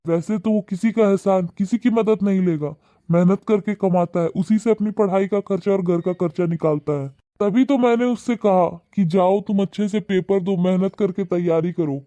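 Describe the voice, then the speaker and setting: A man's voice: high voice, normal speed, few pauses, warm tone, no echo, natural voice. A man voicing a kind young husband in a cartoon, speaking earnestly and in a lecturing way. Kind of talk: cartoon voice acting.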